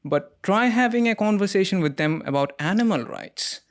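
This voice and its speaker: Male host from India, somewhat high voice; conversational, animated, filler-heavy. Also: normal speed. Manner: animated when arguing, many fillers. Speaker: podcast host. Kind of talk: conversational interview.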